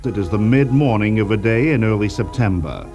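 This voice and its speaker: A man playing the atmospheric narrator of an eerie radio play, deliberate in delivery, on a 1970s archive recording. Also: fairly low pitch, expressive, a little mellow, soft background music, natural voice. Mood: ominous, measured. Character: male scene-setting narrator of an eerie moorland drama.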